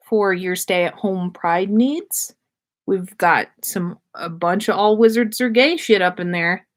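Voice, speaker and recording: Slow-paced, slangy woman livestream host. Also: mid-range voice. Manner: slangy, swears freely; rambling, effusive. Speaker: podcast host. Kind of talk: casual livestream chat.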